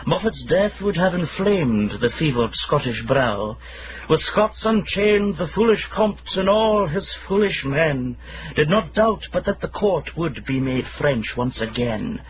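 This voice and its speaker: Grandiose versifying poet for period radio drama, slow and declamatory, noisy recording. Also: male voice, fairly high pitch, animated, muffled recording. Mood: grand, searching. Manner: declamatory, pompous. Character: florid amateur poet composing grandiose verse.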